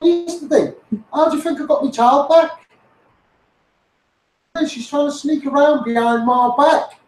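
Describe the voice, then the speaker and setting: High-voiced blunt Scouse man in echoey room. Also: a little slow. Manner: blunt, sweary, taunting. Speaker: social media personality. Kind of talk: confrontational rant to followers.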